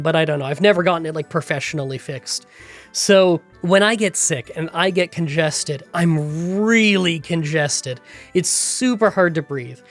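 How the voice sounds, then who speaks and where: Nasal, congested gaming YouTuber. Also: mid-range voice. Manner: chatty, rambling storytelling. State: congested from a cold. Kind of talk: game challenge voiceover recap. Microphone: close voiceover mic.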